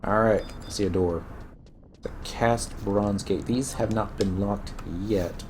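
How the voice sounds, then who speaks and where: A man's voice: on the low side, steady tone, takes it slowly, noisy background. A man with a Texan accent casually chatting through his gameplay, rambling and answering viewers as he goes. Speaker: streamer playing an online game. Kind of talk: casual live gameplay commentary.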